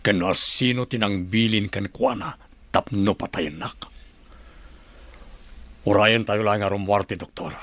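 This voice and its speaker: A stern, demanding governor in an Ilocano radio drama. His voice is low and husky, with a Filipino Ilocano accent. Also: lively intonation, unhurried, pauses often, a bit bright, dry recording, heavily acted. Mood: grave, resolute.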